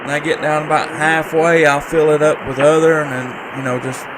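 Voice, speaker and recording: A man hosting a casual live stream as an amateur radio operator, talking in a halting, folksy, rambling way. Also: fairly high pitch, level tone, background noise. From Tennessee, United States.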